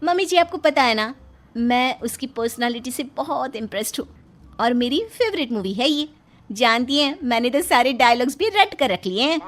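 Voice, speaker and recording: A woman's voice: high voice, lively intonation, moderate pace. A woman playing a cheeky young daughter-in-law in a cartoon story, theatrically quoting film dialogue. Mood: cheerful, eager. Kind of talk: animated moral story acting.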